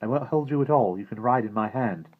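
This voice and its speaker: An excitable young boy bubbles over with eager questions, as a man puts him on. The voice is lifted well above the reader's deep natural tone, and the audio is slightly dull. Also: brisk, husky, natural voice. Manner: eager, breathless bursts.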